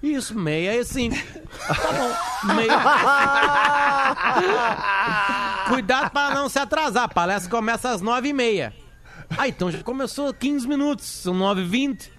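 Man, high voice, playful joke telling, acts out dialogue, casual live stream. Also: lively intonation, a little slow, long pauses, noisy background.

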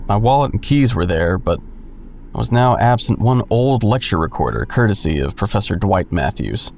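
Gravelly, low-voiced sardonic narrator. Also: male voice, very animated, normal speed, darkish tone, a little hiss or hum. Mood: wry, confiding. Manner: wry, self-deprecating, crude humor. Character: sardonic, nosy man narrating his own misadventures. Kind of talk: first-person scripted narration.

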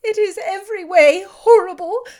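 A woman's voice: very high voice, very fast, a bit bright, voice pushed up. A woman reading the part of a distraught young woman in an audiobook, agitated, pleading and close to tears. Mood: agitated, despairing.

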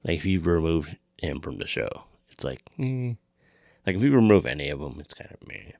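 A man's voice: deep voice, big pitch swings, normal speed, gravelly, darkish tone, no room sound, studio quality. A man co-hosting a casual chat about films, talking informally and swearing freely. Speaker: podcast co-host.

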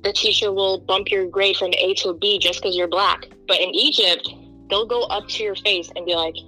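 A woman's voice: medium pitch, moderate pace, soft background music, poor audio. A young woman from Kenya as an interview guest, speaking in an earnest, emphatic way. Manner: earnest, emphatic, animated when quoting others. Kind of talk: candid interview talk.

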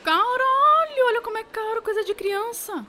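A woman's voice: extremely high pitch, lively intonation, normal speed, background noise. A Brazilian woman voicing a weary, sceptical, grumbling inner voice in an audio drama. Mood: outraged. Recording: music behind the voice.

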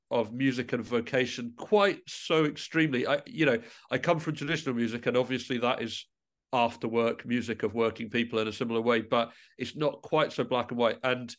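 Thoughtful man from England, medium pitch. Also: a bit bright. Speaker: interview guest, musician. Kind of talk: casual reflective interview talk.

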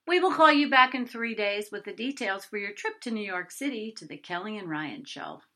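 Woman playing cheerful prize caller, gushing, emphatic, bright, very animated, short-story character. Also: mid-range voice, normal speed, voice pushed up. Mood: excited, congratulatory. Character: chirpy sauce company caller announcing a contest win.

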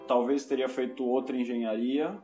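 A man's voice: medium pitch, steady tone, moderate pace, warm tone, faint music behind. Reflective young man, Paulista accent, roomy echo. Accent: Paulista Brazilian Portuguese. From São Paulo, Brazil. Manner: reflective, self-correcting, many restarts. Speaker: guest. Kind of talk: casual podcast interview.

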